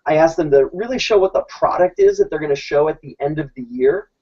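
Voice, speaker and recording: A man's voice: fairly high pitch, quick pace, warm tone. A man, a teacher, explaining how his classroom works in a casual, informal way, often restarting his sentences. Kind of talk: casual presentation. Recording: dull lo-fi recording.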